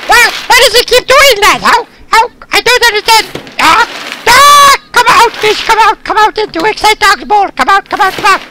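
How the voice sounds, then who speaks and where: Squeaky, frantic hungry cartoon dog. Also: expressive, moderate pace. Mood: excited, urging. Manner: frantic, repetitive, pleading exclamations. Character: excitable, hungry, impatient pet dog. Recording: noisy recording with loud clicks.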